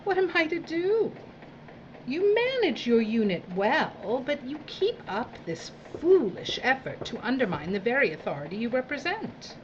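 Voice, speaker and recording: A woman's voice: high-pitched, sing-song, moderate pace, muffled recording, background noise. An actress playing a domineering, sadistic female boss in an audio drama, with a condescending, mock-sweet, imperious drawl. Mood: menacing, scolding. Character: domineering, theatrical, sadistic female boss of dystopian work crews. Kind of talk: theatrical audio drama acting.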